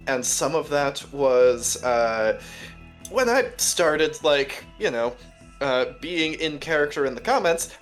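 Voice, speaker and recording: Woman, slightly low voice, animated intonation, dry blunt humour, casual group chat, some swearing. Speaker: podcast cast member and consultant editor.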